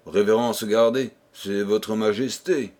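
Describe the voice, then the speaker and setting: A man's voice: fairly low pitch, fairly even intonation, slow pace, darkish tone. A man voicing a sly, malicious courtier in a storybook tale, deferential and insinuating, with feigned nonchalance. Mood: reassuring, insistent.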